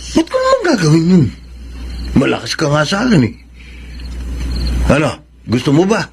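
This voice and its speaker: Sly, animated, wheedling drug dealer. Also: male voice, fairly high pitch, normal speed, gravelly, slight background noise, exaggerated voice. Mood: coaxing, sly. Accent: Filipino. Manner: wheedling, teasing, familiar. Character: sly, chummy male drug dealer coaxing his customer. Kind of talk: Filipino radio drama acting.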